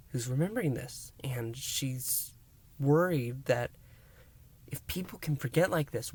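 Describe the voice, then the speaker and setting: A man hosting a review, recapping a story in a theatrical, emphatic way with dramatic pauses and hushed words. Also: medium pitch. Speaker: podcast review host.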